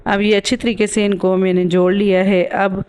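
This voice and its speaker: A woman's voice: medium pitch, little pitch movement, moderate pace, a little mellow, clean tone. Woman narrating with a sore throat. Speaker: craft video host. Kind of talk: casual DIY tutorial voice-over.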